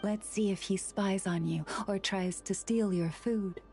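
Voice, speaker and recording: Over soft background music, an actress plays a kind, devout young woman of a fantasy video game. Her voice sits at a medium pitch. She speaks formally and with composure, with a light playful kindness. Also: steady tone, a little slow, lightly acted. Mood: gently playful. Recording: music behind the voice.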